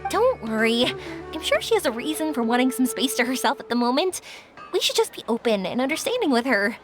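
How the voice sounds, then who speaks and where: A woman's voice: sits high, big pitch swings, a little fast, music in the background. A woman voicing an upbeat, caring young woman in a video game. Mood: reassuring. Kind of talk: scripted video game dialogue.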